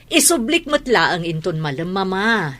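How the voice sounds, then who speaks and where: A defiant, wheedling wife and mother in a radio drama. Her voice is bright and sharp at a middle pitch, very animated. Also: unhurried. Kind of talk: radio drama acting in Ilocano.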